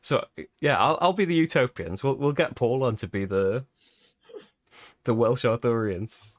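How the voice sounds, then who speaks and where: A man's voice: medium pitch, animated, a little slow, dry recording, clean studio sound. A British man hosting a live board game playthrough, chatting casually and laughing often at his own expense. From Britain. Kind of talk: casual live-stream chat.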